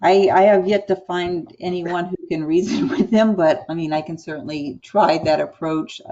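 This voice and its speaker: A woman with an American accent speaking as a board chair in an online meeting, measured and explanatory, with hesitant pauses. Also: fairly low pitch, fairly even intonation, mellow. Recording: dull, lo-fi meeting call audio.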